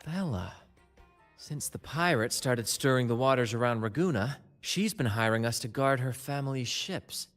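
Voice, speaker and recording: A man's voice: medium pitch, expressive, moderate pace, fairly bright tone, dry recording, close to an everyday voice. An actor voicing a flamboyant, charming male performer in a video game, with game music behind him. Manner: flamboyant, grandiose. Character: swashbuckling theatrical male performer, charming and bold.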